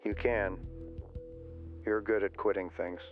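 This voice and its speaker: A man's voice: on the low side, flat delivery, slow pace. A man playing a guarded, middle-aged government wildlife supervisor in an audio drama, clipped and cryptic over a telephone. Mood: curt, guarded. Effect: telephone filter. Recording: thin phone line, faint music.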